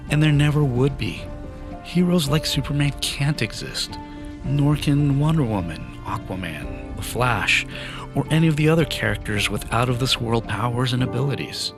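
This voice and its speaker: A man in his fifties whose first language is Farsi narrates his life story from a script, earnest and measured. His voice is mid-pitched with a gravelly, creaky edge. Faint music plays quietly behind him. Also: animated. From Iran.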